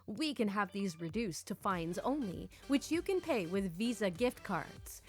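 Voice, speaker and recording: Smooth, smug con man on the phone read by a woman storyteller, lively pitch, obliging officialese. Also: unhurried, soft background music. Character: smug, smooth-talking male phone scammer posing as an official.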